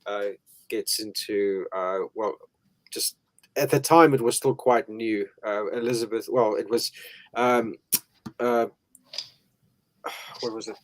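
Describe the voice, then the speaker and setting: A man co-hosting a casual interview, explaining at length with hesitant pauses and restarts. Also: on the low side, fairly even intonation.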